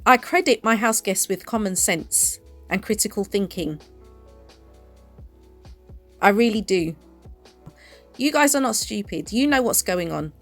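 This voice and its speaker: A woman hosting an opinion commentary, speaking in an emphatic, indignant and deliberate way. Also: mid-range voice, expressive, a bit bright, faint music behind. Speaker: commentary channel host. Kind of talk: opinion commentary to camera or voice-over.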